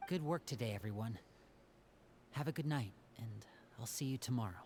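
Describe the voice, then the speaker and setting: Casting: man as a composed young magician and older brother, measured delivery, faint background hiss. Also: medium pitch, natural voice. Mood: calm. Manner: calm, measured, reassuring leader. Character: young male magician, calm older brother of an orphan family. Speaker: game character. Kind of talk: video game voice acting.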